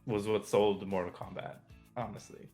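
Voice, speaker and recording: A man's voice: lowish voice, fairly even intonation, normal speed, dark tone, faint music behind. A man co-hosting a casual show, bantering and rambling in informal slang. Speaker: show co-host. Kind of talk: casual banter about video game movies.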